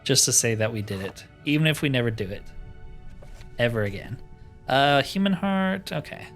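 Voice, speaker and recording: A man with a mid-pitched voice hosts a video game playthrough. He talks casually, thinking aloud in short, informal remarks. Music plays behind his voice. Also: expressive, moderate pace, a bit bright. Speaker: gaming host.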